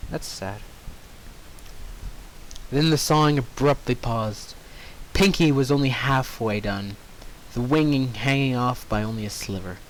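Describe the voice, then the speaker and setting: A man's voice: mid-range voice, a bit bright, slight background noise, low-quality recording. A man reading fan fiction aloud informally, expressively breaking off for squeamish asides. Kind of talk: informal story narration with reactions.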